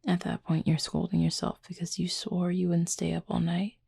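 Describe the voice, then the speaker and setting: Woman acting as gentle cupid roommate, low female voice, very gravelly creak, thoughtful, measured, intimate ASMR roleplay. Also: completely flat delivery, no echo, clean studio sound, exaggerated voice. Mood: thoughtful, tender. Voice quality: soft, breathy, husky with creak. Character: soft-spoken, reflective cupid roommate, gentle and guarded.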